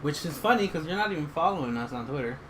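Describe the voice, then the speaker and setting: A man's voice: on the high side, animated, quick pace, over a noisy crowd, rough recording. A man from Texas hosting a podcast in a loose, joking chat with many fillers. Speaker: podcast host. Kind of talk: casual chat.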